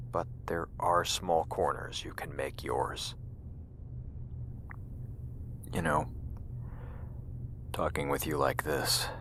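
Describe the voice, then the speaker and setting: An actor playing an embittered, world-weary scientist in an audio drama, intense and confiding in manner. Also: male voice, extremely low pitch, a bit bright, exaggerated voice. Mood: reflective. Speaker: actor in fiction podcast. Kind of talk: audio drama dialogue and narration.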